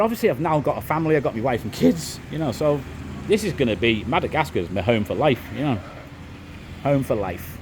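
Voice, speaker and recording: A middle-aged man with a softened Liverpool accent, chatting loosely to camera as a vlogger, with lots of restarts. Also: mid-range voice, slight background noise. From England. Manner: rambling, frequent restarts and "it is what it is". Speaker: vlogger, property developer. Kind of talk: casual monologue to camera.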